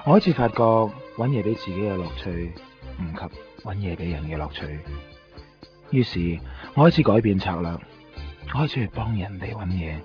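A man acting a cocky, self-assured finder of lost things in a radio drama, deliberate in manner, with a Hong Kong Cantonese accent. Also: lowish voice, animated, lightly acted. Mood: reflective. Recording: dull lo-fi radio recording, music behind.

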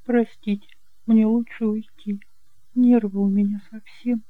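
A man voicing a shy, sad young woman in an audiobook drama, polite, soft and hesitant. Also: sits high, level tone, measured pace, no echo, narrow, tinny audio, pitched above the performer's own voice. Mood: tearful, polite.

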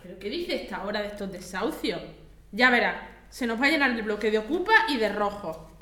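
A woman's voice: medium pitch, animated, brisk, sharp tone. A woman with a Spanish accent from Spain playing a suspicious, insistent, scandalised neighbour in a radio drama. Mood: indignant. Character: indignant, suspicious female neighbour, stirring up trouble. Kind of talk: acted community radio drama. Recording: roomy, echoing sound.

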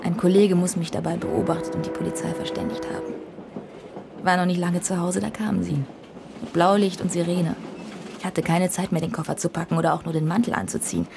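An actress playing a young American bank teller turned would-be adventurer, narrating and speaking in a dreamy, often whispered way. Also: female voice, on the low side, fairly even intonation, fairly quick, gravelly, background noise. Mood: earnest. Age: young adult. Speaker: narrator and heroine. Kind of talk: radio play narration and dialogue.

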